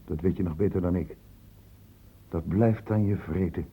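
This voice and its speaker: A man's voice: sits low, moderate pace, slightly rough, narrow, tinny audio, heavily acted. A man acting in a radio play as a grave, precise and insistent doctor. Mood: stern, urgent. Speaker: actor in a radio drama. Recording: noisy old radio recording with clicks.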